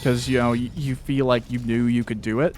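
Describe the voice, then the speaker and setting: A man's voice: medium pitch, level tone, fairly quick, darkish tone, soft background music. A man who works as an author, interviewing someone casually in a candid, rambling, self-reflective way.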